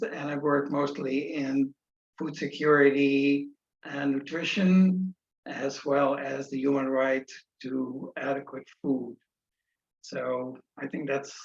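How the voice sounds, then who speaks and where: A man's voice: medium pitch, creaky. A man facilitating an online meeting, speaking informally with polite, hesitant phrasing. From Netherlands. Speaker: session facilitator. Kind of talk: unscripted introduction at an online meeting. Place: remote video meeting. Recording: echoey, dull online meeting audio.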